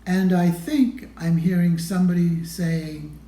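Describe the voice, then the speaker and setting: A man reading a children's picture book aloud expressively, with deliberate phrasing and pauses. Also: sits high, steady tone, dark tone, reverberant. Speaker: storybook reader.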